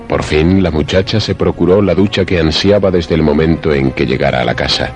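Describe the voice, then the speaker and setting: A man narrating a scripted suspense radio drama in a measured, formal, literary manner. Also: extremely low pitch, soft background music.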